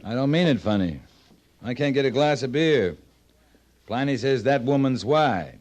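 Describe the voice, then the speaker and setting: An actor playing a terse, dry-witted American cavalry captain in an old-time radio western. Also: male voice, medium pitch, moderate pace, lightly acted. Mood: blunt, skeptical. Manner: terse, deadpan, plain-spoken.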